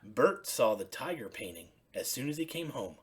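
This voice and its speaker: A woman storyteller reading a children's bedtime story expressively, in simple, deliberate phrases. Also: extremely low pitch, big pitch swings, slightly rough.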